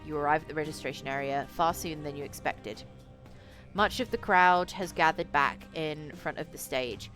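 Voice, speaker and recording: A woman streamer with a low voice reading a visual novel aloud, dropping in casual, sweary asides. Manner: sweary, excitable reactions in asides.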